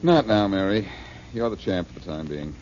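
A man's voice: deep voice, very animated, moderate pace. An actor playing a wry, brooding male detective in an old radio drama. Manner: dry, wry. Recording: dull old radio broadcast with hiss.

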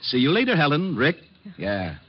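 Animated, sardonic police lieutenant. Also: male voice, a little high, normal speed. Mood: wry teasing. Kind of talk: 1940s-50s radio acting. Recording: dull, faintly noisy old radio recording.

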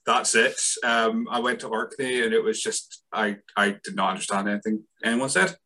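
A man's voice: on the low side, steady tone, normal speed, pushed and bright, low-quality recording. A man from northeast Scotland hosting a podcast, chatting casually, laughing often and restarting his sentences. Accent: Scottish, northeast. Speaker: podcast host. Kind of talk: casual chat.

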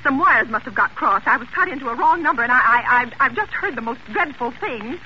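A muffled, faintly hissy old radio broadcast carries an imperious, frantic married woman. Her voice is piercing and wildly animated. She stammers indignantly and haughtily, as if nobody will obey her. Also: high voice, a little fast, full character voice. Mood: alarmed. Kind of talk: golden age radio drama acting.

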